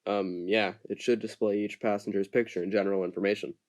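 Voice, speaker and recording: A man's voice: lowish voice, flat delivery, fast talker, a little mellow, lightly acted. An actor playing a wary male ship captain in an amateur audio drama, firm and questioning. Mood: explaining. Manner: firm, interrogating.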